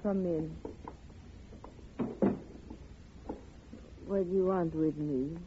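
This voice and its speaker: An actress, speaking halting, sorrowful broken English. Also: female voice, sits low, fairly even intonation, heavy background noise, lightly acted. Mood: weary, bitter. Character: grieving, weary immigrant mother, listless and resigned. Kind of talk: 1950s radio drama acting. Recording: muffled, tinny old radio recording.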